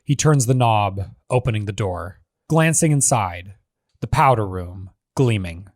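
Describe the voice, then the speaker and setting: A man's voice: lowish voice, expressive, a little slow, a little mellow, dry recording. Man narrating screenplay directions, dry close sound.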